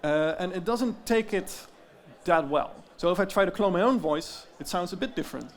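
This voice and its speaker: A man with a Dutch accent giving an explanatory presentation, often pausing with fillers. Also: high voice, expressive. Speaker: tech founder giving a talk. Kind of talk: presentation talk.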